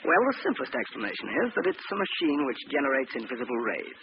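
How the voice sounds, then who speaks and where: A man playing a cold-blooded, gloating villain scientist with mock-courteous, deliberate speech in 1940s radio drama style. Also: medium pitch, big pitch swings. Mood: gloating, amused. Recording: muffled, noisy old radio recording.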